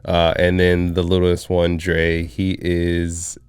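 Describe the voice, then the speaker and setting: Thirties man from Zambia, very low smooth voice, relaxed podcast guest, dry close mic. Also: slow pace, no room sound. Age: 30s. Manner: relaxed, reflective, with frequent fillers and restarts. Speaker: guest, coffee shop owner. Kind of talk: casual interview conversation.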